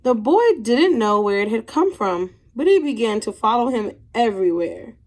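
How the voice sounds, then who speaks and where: Expressive woman teacher reading to children. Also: a little high, moderate pace, rough recording. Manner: expressive, clearly addressed to young pupils. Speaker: teacher reading a picture book aloud to children. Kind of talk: children's storybook read-aloud.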